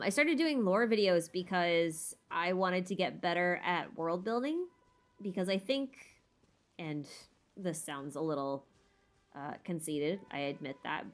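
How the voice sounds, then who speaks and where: Rambling woman streamer, slightly low voice. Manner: rambling, self-deprecating, thinking aloud. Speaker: streamer answering viewer questions. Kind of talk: casual unscripted Q&A chat.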